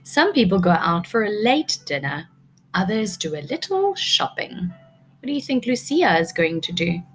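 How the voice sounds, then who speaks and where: A woman's voice: medium pitch, low-quality recording. A woman teacher reading a picture book aloud to young children, expressively, prompting them with questions.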